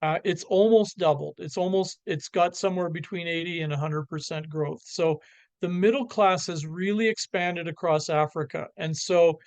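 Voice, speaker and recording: A man with a Canadian accent giving a slide presentation, halting with many fillers and restarts. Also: a little high, no room sound.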